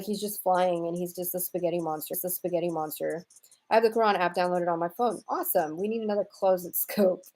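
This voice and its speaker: A woman's voice: lowish voice, darkish tone, poor audio. An American woman from Houston chatting casually as a live-stream host, reading out and answering viewer comments in a wry, irreverent, slangy way. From United States, raised in Houston, Texas.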